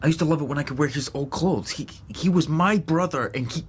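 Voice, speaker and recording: Male actor as young American grad student, defensive, grieving, halting delivery, naturalistic radio drama, slightly noisy recording. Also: a little high, natural voice. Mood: hurt, bewildered. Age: young adult. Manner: halting, stammering when worked up.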